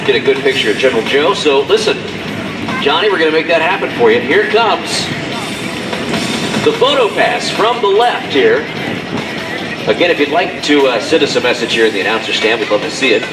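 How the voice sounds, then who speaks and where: A man's voice: mid-range voice, animated, brisk. A man commentating live at an outdoor air show, calling the maneuvers with enthusiastic, exclamation-filled play-by-play from the announcer stand. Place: outdoor airfield, voice over a public address system. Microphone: announcer mic over loudspeakers, recorded from far off by a spectator camera. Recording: far-off echoey PA sound with loud music.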